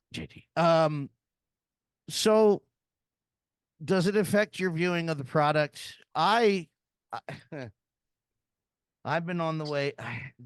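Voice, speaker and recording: A man's voice: medium pitch, clear, resonant tone, dry recording, studio quality. A middle-aged man hosting a wrestling podcast, ranting profanely and laughing often. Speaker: podcast host. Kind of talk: casual wrestling podcast chat.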